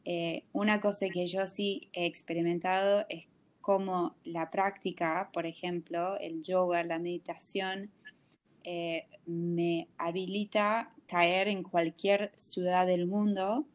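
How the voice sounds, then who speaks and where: A woman in her forties from the United States with an American accent, speaking Spanish on a video call as an interview guest. She talks thoughtfully, searching for words with frequent pauses. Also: fairly low pitch, little pitch movement. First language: English. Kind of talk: reflective video call conversation.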